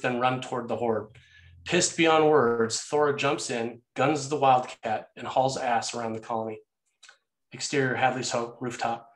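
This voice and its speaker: Guy reading screenplay action lines as narrator, normal pitch, echoey room.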